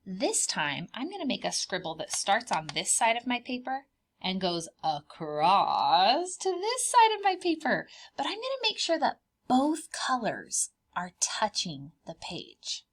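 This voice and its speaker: A woman's voice: on the high side, wildly swooping pitch, measured pace. A woman preschool teacher leading a children's art lesson step by step, speaking in simple, child-directed language with encouraging questions and repeated phrases.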